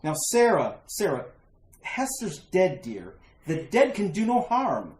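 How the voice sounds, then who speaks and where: A man's voice: sits high, wildly swooping pitch, a little slow, a little mellow, exaggerated voice. A man playing a worried, reasonable husband with an earnest, hesitant manner in a retro radio horror play. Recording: roomy, echoing sound.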